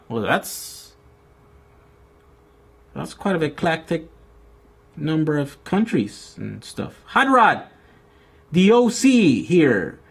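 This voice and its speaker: Brazilian-born man, slightly high voice, rambling vlogger answering live questions, lots of restarts. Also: husky. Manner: rambling, many restarts and fillers. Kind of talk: casual live Q&A chat. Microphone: outdoor camera with mic.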